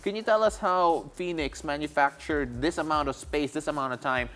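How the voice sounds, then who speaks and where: A man's voice: on the high side, moderate pace, fairly bright tone. A man, hosting a basketball analysis segment in a conversational style in a TV studio. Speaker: sports show host.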